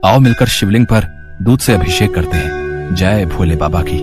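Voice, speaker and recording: A man's voice: low-pitched, expressive, moderate pace, over loud music. A man playing a devout, anxious village husband in a story, speaking in an earnest, pleading way. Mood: fond. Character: devout, anxious village husband who loves his cows. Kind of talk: story voice acting.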